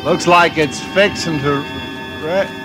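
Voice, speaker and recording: A man's voice: on the high side, slow pace. An actor playing an affable, slightly sheepish man letting a house in a 1940s radio drama. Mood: eager, friendly. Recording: vintage 1940s radio drama, music behind.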